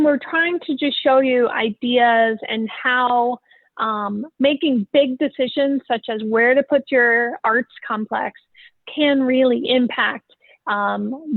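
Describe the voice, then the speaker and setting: A woman's voice: fairly high pitch, no echo. A woman presenting plans at an online public meeting, over thin phone-like call audio. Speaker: facilities planning presenter.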